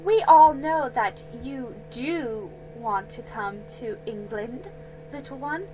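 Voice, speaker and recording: A woman voicing a haughty, grating aristocratic lady who speaks slowly and pompously in a high, loud voice. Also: lively intonation, soft background music, poor audio. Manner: pompous, slow and drawn out, shrill.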